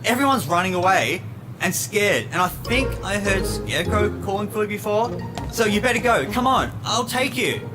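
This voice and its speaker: A devoted, childlike pumpkinhead creature voiced by an actor from a fantasy audio drama. He is simple and earnest, with a very lively, swooping delivery over background music. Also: medium pitch, moderate pace, close to an everyday voice, low-quality recording. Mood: bold, urgent. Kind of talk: full-cast fantasy audio drama acting.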